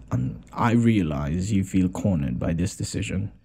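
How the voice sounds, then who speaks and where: A man playing a gentle, smitten noble lord in an intimate audio roleplay, hesitant and apologetic, stammering and sighing as he talks. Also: low voice, gravelly, slightly rough, full character voice. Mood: apologetic, weary.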